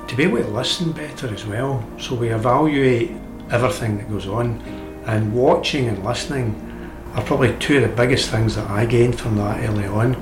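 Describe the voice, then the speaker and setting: A man's voice: mid-range voice, echoey room. A man, a speakers club member, explaining in a conversational way as a radio interview guest, often restarting his words.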